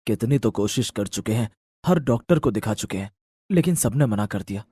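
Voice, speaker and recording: A man voicing a gentle, loyal husband in a moral story, reassuring and resigned. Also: lowish voice, expressive, fairly quick, husky, dry recording. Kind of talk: dramatised Hindi moral story acting.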